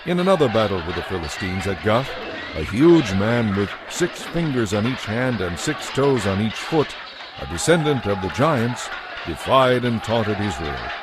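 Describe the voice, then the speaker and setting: A man's voice: lowish voice, big pitch swings, normal speed, background noise. A man narrating a dramatized audio Bible from a script.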